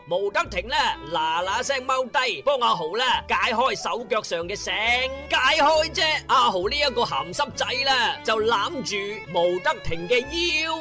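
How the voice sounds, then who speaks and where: A man narrating a comedy radio drama in an animated, conversational storytelling manner with playful asides. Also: very high voice, moderate pace, few pauses. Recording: narration over music.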